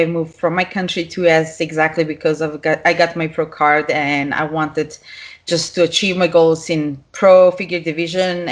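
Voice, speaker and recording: A woman with a Serbian accent talks about herself as a podcast guest. She speaks English as a second language, with loose grammar and an easy, open manner. Her voice sits on the lower side for a woman. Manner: non-native grammar, speaks openly with small laughs. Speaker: guest, pro figure competitor. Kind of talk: casual interview talk.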